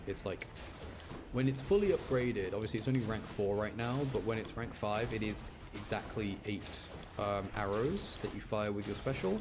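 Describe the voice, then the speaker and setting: A man's voice: fairly low pitch, noisy background. A man with a British accent giving casual, chatty live gameplay commentary, thinking aloud as he plays. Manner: chatty, informal, thinks aloud while playing. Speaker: game streamer. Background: music behind the voice.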